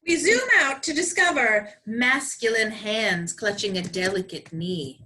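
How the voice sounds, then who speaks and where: A woman's voice: medium pitch, animated, a little slow, bright, forceful tone, rough recording. A woman reading scripted comedy narration in a dramatic, tongue-in-cheek way. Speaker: comedy troupe performer, narrator.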